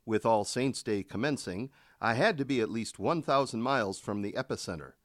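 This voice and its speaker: Animated, melodramatic actor's male field reporter. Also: medium pitch, moderate pace, no room sound, clean studio sound, close to an everyday voice. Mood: overwrought, earnest. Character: overwrought zealous male field news correspondent.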